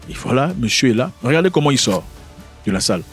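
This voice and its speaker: A man speaking French as a second language talks through a video in a casual commentary style. His voice sits at an ordinary pitch, and he keeps posing rhetorical questions, often stumbling and restarting his sentences. Faint music plays softly behind him. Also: big pitch swings, quick pace. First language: not French.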